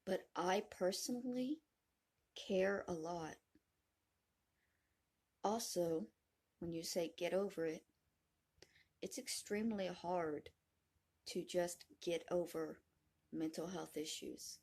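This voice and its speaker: A woman's voice: on the low side, slow pace, pauses often, darkish tone, breathy edge. A woman in her thirties speaking earnestly to camera in a personal video, complaining. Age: 30s. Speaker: video creator.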